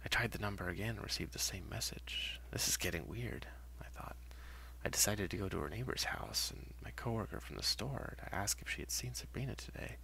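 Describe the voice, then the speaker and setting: A man narrating a first-person horror story in a dramatic, hushed and halting way. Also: on the low side, expressive, creaky, whispered, poor audio.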